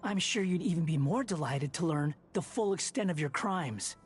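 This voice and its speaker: An actor playing a defiant, sharp-tongued young male fighter in a video game, dry and biting in delivery. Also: on the high side, animated, moderate pace, lightly acted. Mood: bitter, scornful. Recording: loud game music over voice.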